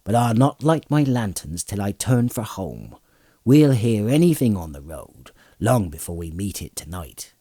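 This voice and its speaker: A man's voice: lowish voice, sing-song, measured pace, warm tone, no echo, clean studio sound. A man voicing a blunt, hearty old farmer with a rustic, homely way of talking in a storybook reading. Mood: steady, wary. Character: gruff, plain-spoken, hearty old country farmer. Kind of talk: audiobook character voice.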